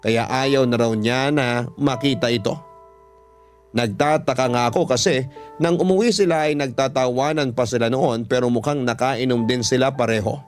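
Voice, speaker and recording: A Filipino man reads a personal story in the manner of a radio drama host. His pitch sits in the middle range. Music plays behind his narration. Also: moderate pace.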